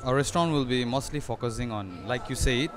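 A young man, a restaurant owner, answering questions in an on-camera news interview, earnest and halting with frequent restarts. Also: mid-range voice, soft background music. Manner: hesitant, restarts often, earnest. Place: indoor restaurant dining area. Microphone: handheld reporter mic.

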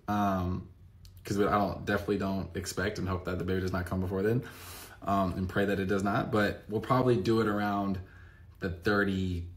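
A man in his thirties with a low voice chats straight into a phone held close. He rambles, restarts sentences and leans on fillers. The sound is from a bright room at home. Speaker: vlogger answering viewer questions. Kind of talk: casual to-camera chat.

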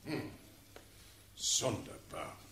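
An actor playing a commanding, shrewd sovereign in a classical verse radio drama, with measured, formal, imperious delivery. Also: male voice, mid-range voice, sing-song, fairly bright tone, slight background noise. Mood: probing. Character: commanding, shrewd ruling Elector of Brandenburg.

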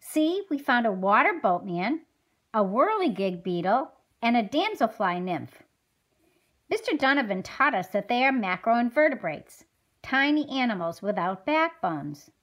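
Woman playing an eager, high-voiced animated schoolgirl. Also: unhurried, heavily acted. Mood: helpful. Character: Polly, eager friendly fifth-grade schoolgirl puppet. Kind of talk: scripted children's puppet show.

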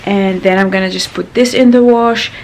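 A woman in her thirties, croaky from little sleep, chatting casually to a handheld camera in her small apartment, rambling with many fillers. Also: medium pitch, fairly even intonation, normal speed, a little mellow, slight background noise. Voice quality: gravelly. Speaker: vlogger. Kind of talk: casual vlog talk to camera. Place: small apartment bedroom, indoors. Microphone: handheld camera at arm's length.

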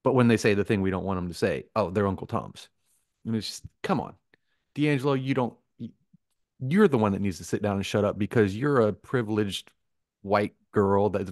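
Lower-voiced American man, casual and dry-recorded. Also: darkish tone, no echo. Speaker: podcast co-host. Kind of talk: casual opinionated conversation.

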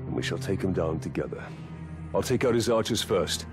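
A man's voice: sits low, animated, a little fast. A man playing a sombre, steadfast ranger warrior in a fantasy video game, earnest and grave. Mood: resolute. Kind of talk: dramatic fantasy game acting. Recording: loud music over the voice.

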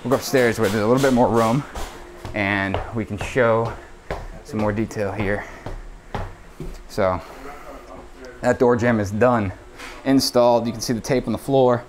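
Man, lowish voice, casual site walkthrough, action-cam audio, faint background hum. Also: a little mellow. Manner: casual, explanatory, pointing things out. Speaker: builder giving a site tour. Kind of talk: walkthrough explanation on a job site. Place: indoor renovation site, bare rooms. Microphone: head-mounted action camera.

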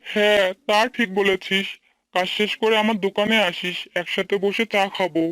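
A man's voice: high-pitched, fairly even intonation, moderate pace, sharp tone, dry recording, low-quality recording. A man voicing a friendly, familiar, brotherly village tea-shop owner in a cartoon. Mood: familiar, caring. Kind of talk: Bengali cartoon dialogue dubbing.

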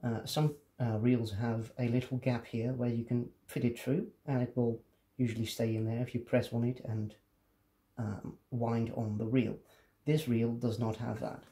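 A man's voice: medium pitch, flat delivery, normal speed, mellow. A man presenting a casual hands-on tutorial, explaining things step by step.

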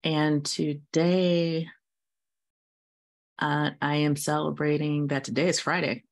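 A woman's voice: low-pitched, little pitch movement, takes it slowly. A middle-aged woman speaking cheerfully from her home office on a video call. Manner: cheerful, laughing. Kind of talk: casual video call introduction.

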